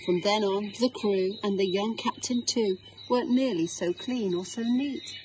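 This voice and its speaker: A middle-aged English woman reads a picture book to children at an unhurried pace. Her voice sits at a medium pitch and she phrases each line with care. It is recorded outdoors, with faint noise behind her. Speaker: head teacher reading a picture book. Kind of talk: children's storybook reading aloud. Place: outdoors in a small garden.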